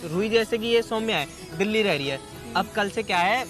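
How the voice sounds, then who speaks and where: High-voiced young man, chatting casually. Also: expressive, fairly quick, music in the background. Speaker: prank video host. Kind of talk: casual chat, teasing banter.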